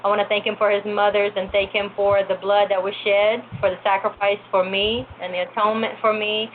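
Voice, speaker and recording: A woman's voice: medium pitch, level tone, fairly quick, slight background noise. Woman host on a muffled, phone-like line. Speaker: religious show host. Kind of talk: devotional talk show greeting and testimony.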